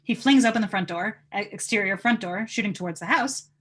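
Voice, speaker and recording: A woman's voice: medium pitch, fairly quick, fairly bright tone, husky. A woman reading out stage directions as scripted narration at a live table read.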